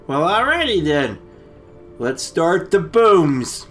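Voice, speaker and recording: A man co-hosting a casual comic movie recap, rambling and halting, full of slang. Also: fairly high pitch, very animated, over music. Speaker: comedy storytelling co-host.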